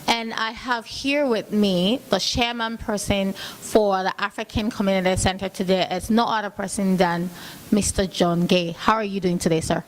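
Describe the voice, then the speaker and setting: A woman hosting a community TV show, casually interviewing guests at a forum with halting, filler-laden questions. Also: medium pitch, steady tone, a little hiss or hum, rough recording. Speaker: TV host interviewing at an event. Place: at a forum in a hotel venue.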